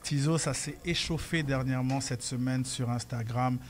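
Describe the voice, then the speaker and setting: A man's voice: medium pitch, normal speed, a little hiss or hum. A man with a Québécois French accent hosting a radio interview in a studio, chatty and joking as he asks loose, rambling questions. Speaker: radio show host. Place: radio studio by a street-facing window.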